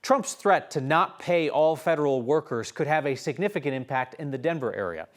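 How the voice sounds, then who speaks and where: A man's voice: a little high, animated, fairly quick. An American man anchoring a newscast with scripted news narration.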